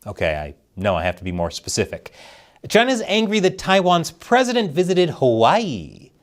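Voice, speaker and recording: A man reads satirical news commentary with a very lively, swinging pitch. He keeps a mock-serious anchor delivery and lands his punchlines with dry sarcasm. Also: moderate pace. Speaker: host of a satirical news show.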